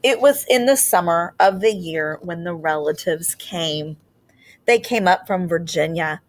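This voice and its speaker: A woman reading a children's picture book aloud like a teacher, with expressive storytelling and deliberate, drawn-out phrasing. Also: fairly low pitch, slight background noise.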